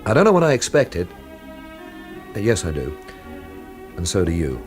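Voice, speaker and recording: An actor playing a wry, world-weary investigator in a radio drama, with a dry, literary, laconic delivery. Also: male voice, sits low, wildly swooping pitch, normal speed, over music. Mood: wry reflection. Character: wry, world-weary male investigator narrating his own inquiry. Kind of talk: radio drama narration and dialogue.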